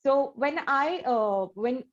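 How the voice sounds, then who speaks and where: A woman's voice: sits high, lively intonation, slow. A woman from India hosting an interview, asking long questions with many "you know" fillers. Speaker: interview host. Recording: slightly dull, lo-fi audio.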